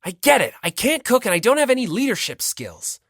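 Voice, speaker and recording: A man's voice: very high voice, big pitch swings, a little fast, fairly bright tone, no room sound, clean studio sound, voice pushed up, full character voice. A man voicing an irritable, defensive teenage boy with a snappish, impatient manner in an audiobook. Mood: angry, flaring up.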